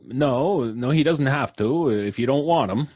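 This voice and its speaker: Wry, animated radio-play cop. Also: male voice, mid-range voice, a little slow, no echo. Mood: placating. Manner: colloquial, wry, placating. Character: skeptical, blunt, streetwise male police officer. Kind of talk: old-time radio suspense acting.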